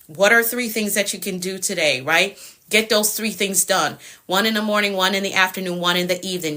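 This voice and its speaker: Bright-voiced encouraging woman coach. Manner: repetitive, encouraging, sales pitch. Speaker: productivity coach. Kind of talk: live-stream motivational coaching.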